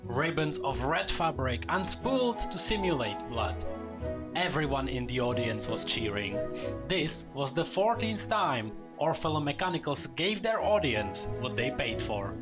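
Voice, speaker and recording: A man's voice: mid-range voice, sing-song, a little slow. A man narrating a story in a dramatic storytelling style. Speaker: lore video narrator. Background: music.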